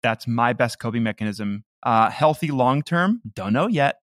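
A man's voice: medium pitch, lively intonation, moderate pace, no echo. An American man chatting in an interview in a mid-range voice. He comes across as earnest and self-deprecating, and the sound is very dry. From Midwestern United States. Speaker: comedy podcast creator. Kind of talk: casual interview conversation.

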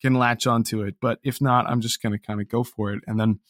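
A man talks reflectively as an interview guest in a mid-pitched voice. He is hesitant and self-deprecating, and the sound is close and dry. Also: fairly even intonation, fast, dry recording. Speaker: interview guest, audio drama creator. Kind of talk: casual reflective interview talk.

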